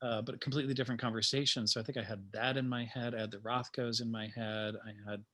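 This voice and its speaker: A man talking informally as a playwright about his work, in a halting, self-amused way full of trailing asides. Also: mid-range voice, little pitch movement, pushed and bright. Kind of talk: informal panel conversation.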